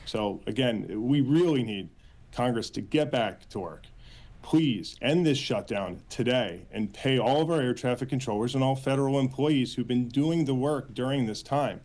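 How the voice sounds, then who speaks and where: An American man with a mid-pitched voice, speaking as an official being interviewed on the news. He is earnest and halting, often repeating words as he builds a sentence. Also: a little mellow. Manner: halting, hesitant phrasing with frequent repeats, earnest. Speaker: union official, interview guest. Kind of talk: spoken news interview answers.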